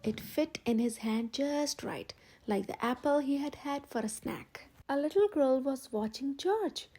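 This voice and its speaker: A woman storyteller reading a children's picture book aloud in an expressive, emphatic way for young listeners. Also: high voice, unhurried. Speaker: storyteller, channel host.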